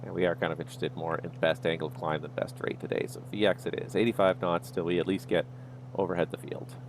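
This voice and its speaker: A man with a low voice and a gravelly edge to it. He is streaming a flight sim and thinks aloud in an easygoing way. Faint noise sits under the voice. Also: level tone, fast talker. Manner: easygoing, talks himself through each step. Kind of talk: livestream commentary, thinking aloud.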